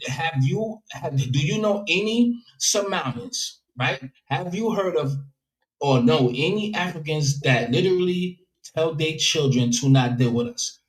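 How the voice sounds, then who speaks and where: A man's voice: on the high side, lively intonation, measured pace, roomy sound, low-quality recording. A man hosting a live stream who argues heatedly with a guest, confronting him, repeating his points and rising in bursts. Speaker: livestream host.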